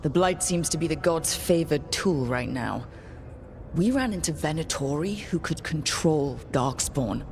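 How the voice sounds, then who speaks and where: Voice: mid-range voice, expressive, measured pace, a little mellow, slight background noise. An actor voicing a resolute, plain-spoken young hero who leads a resistance in a fantasy video game. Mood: matter-of-fact. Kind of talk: video game cutscene acting.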